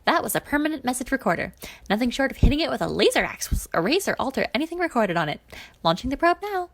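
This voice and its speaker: Cheerful, confident sci-fi storybook ally played by a woman, pitched up, creaky edge. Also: sing-song, quick pace, no echo, full character voice. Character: cheerful, conspiratorial technician ally on comms.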